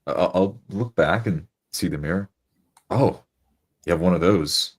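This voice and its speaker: Very deep-voiced man roleplaying. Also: measured pace, slightly rough. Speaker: tabletop player. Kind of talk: roleplay, narrating and speaking in character.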